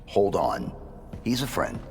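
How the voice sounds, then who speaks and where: A man playing a stoic super-soldier in a video game, terse, deadpan and calm. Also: low-pitched, slow pace, big-room sound, full character voice. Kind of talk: video game cutscene acting.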